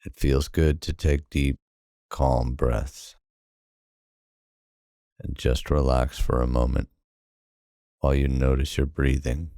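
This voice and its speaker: A man narrating a guided sleep hypnosis in a calm, soothing, unhurried manner with long pauses. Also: a bass voice, no room sound, studio quality.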